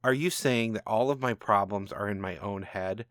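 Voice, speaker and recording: A man's voice: on the low side, moderate pace, a bit bright, no room sound, clean studio sound, close to an everyday voice. A man voicing a troubled ordinary man down on his luck in a storybook reading, questioning and defensive. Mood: exasperated. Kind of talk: storybook narration dialogue.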